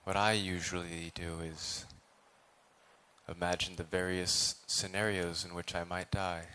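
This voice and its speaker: A man with a deep voice leads a guided meditation. His intonation stays very even, with little rise or fall. He speaks as a teacher, in measured phrases separated by long pauses. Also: clear, resonant tone. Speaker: meditation teacher, Buddhist monk.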